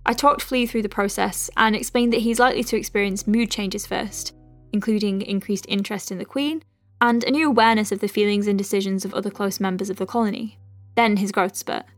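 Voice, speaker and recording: A woman playing a caring, conscientious doctor in an audio drama, dictating clinical notes with gentle, chatty asides. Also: mid-range voice, fairly even intonation, brisk, dry recording, faint music behind, close to an everyday voice. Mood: professional, gentle. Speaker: lead character, physician.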